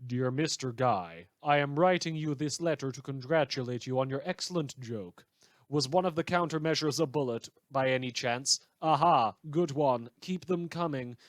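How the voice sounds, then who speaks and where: A man's voice: mid-range voice, moderate pace, no echo, lightly acted. A man voicing a sarcastic anonymous citizen in a letter, with dry mock congratulation.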